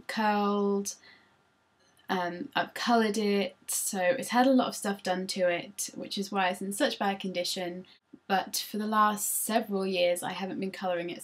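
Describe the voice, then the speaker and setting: A woman's voice: mid-range voice, husky. A woman, chatting casually through tag questions on her vlog with frequent ums, recorded on a laptop. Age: 20s. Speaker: vlogger.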